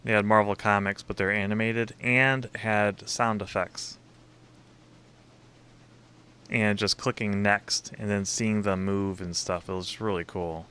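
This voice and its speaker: A man with a low but very bright, forward voice, hosting a relaxed gaming stream over a voice call. He tells stories with lively enthusiasm and breaks into laughter often. His tone is casual and friendly. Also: unhurried, hardly any pauses, smooth. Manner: animated storytelling, laughs often. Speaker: streamer and host.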